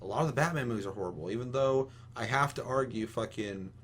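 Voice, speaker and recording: A man's voice: mid-range voice, quick pace. Opinionated man swearing over a noisy hum. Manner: profane, emphatic rambling. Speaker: podcast host. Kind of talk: casual opinionated chat. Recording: clearly audible hum and noise.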